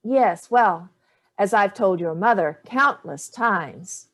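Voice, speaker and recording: A woman's voice: medium pitch, animated, slow pace. Woman playing an imperious, dry-tongued grandmother. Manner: dry, lofty, pointed. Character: imperious, cutting grandmother, drink in hand. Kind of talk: staged play reading.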